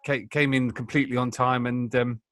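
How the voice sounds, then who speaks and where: A man hosting a podcast, putting casual interview questions in a hesitant, halting way. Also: medium pitch, flat delivery, fairly bright tone, no echo, studio quality. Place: indoors, remote call.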